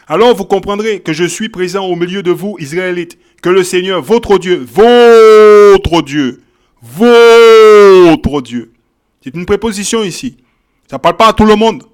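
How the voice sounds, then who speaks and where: A man teaching a Bible sermon, reading verses and repeating key phrases with emphasis, often checking in with "ok?" and "hein?". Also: sits high. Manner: shifts from quiet verse reading to loud exhortation. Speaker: preacher.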